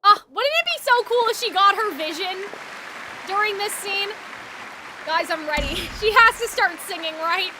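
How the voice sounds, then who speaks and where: A young woman giving casual, excitable live reaction commentary as a video game streamer, talking informally. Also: very high-pitched, normal speed, noisy background. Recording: game music behind voice. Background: game soundtrack and dialogue.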